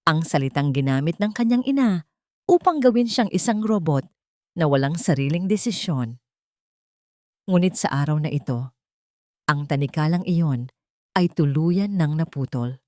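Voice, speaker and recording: A woman narrating a scripted dramatic audio story with solemn, emphatic, measured phrasing. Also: low-pitched, animated, warm tone, no room sound, clean studio sound.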